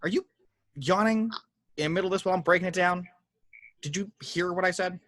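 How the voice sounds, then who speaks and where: A man playing a cocky, sarcastic, take-charge superhero with a husky voice in an amateur table read of a film script. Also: sits high, a little fast, a bit bright, no echo. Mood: exasperated.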